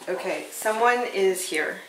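A woman's voice: medium pitch, measured pace, a crowd in the background. A woman on a paranormal investigation, chatting and describing what she feels in the room. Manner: chatty, wondering about felt presences. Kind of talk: casual chat during a ghost hunt. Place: dark old lighthouse rooms at night. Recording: echoey rooms, distant camera mic, noisy.

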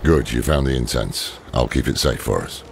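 An actor playing a grave, weary and wry old scholar with a gravelly voice in a dramatic fantasy game. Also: male voice, rumbling low, expressive, moderate pace, noisy background, heavily acted. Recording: loud game music behind the voice.

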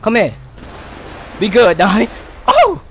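An American man streaming a role-play game, bantering in street slang with loud, repetitive, taunting shouts. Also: high voice, huge pitch swings, slow pace, a little hiss or hum. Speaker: streamer role-playing as a gang member in an online game.

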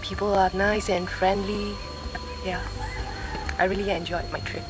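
A woman's voice: medium pitch, level tone, unhurried, rough recording. Cheerful middle-aged woman from Singapore. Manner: cheerful, laughing between phrases. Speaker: workshop participant. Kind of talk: casual interview answers. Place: outdoor bamboo veranda. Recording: music playing underneath.

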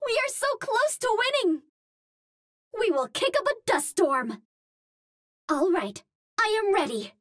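An actress playing a cute, earnest young Martian heroine in a video game, speaking politely in precise, formal phrases. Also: female voice, sits high. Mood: determined. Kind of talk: video game character voice lines.

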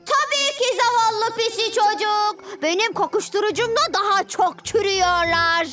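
A woman's voice: very high voice, moderate pace, loud music behind the voice. A woman voicing a small boy villain inventor in a children's cartoon, gloating and taunting with mock pity.

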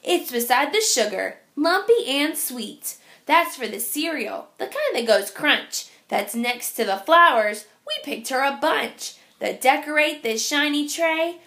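Female children's story reader: high pitch, creaky edges, deliberate sing-song phrasing. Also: lively intonation, bright, forceful tone. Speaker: teacher reading aloud. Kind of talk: picture-book read-aloud for young children.

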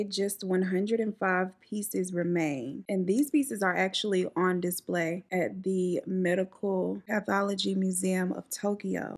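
一位嗓音略带沙哑气泡音的年轻女性，边化妆边闲聊讲故事。. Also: female voice, lowish voice, darkish tone. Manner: chatty, sassy asides, frequent "like". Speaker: beauty vlogger telling stories. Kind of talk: casual storytelling while doing makeup. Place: indoors at home, room behind her. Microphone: camera in front at close range.

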